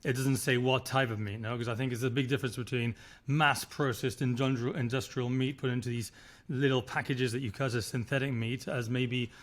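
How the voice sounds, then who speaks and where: A man's voice: mid-range voice, steady tone, a little fast. A man, a resort founder telling his story in a rambling, casual way. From Spain. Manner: rambling, many restarts and filler words. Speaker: resort founder, podcast guest.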